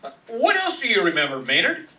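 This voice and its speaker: A man's voice: high-pitched, huge pitch swings, fairly quick, pushed and bright, roomy sound, full character voice. A man playing an earnest, self-pleased husband with an eager, polite manner in a radio comedy play. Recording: noisy, distant stage microphone.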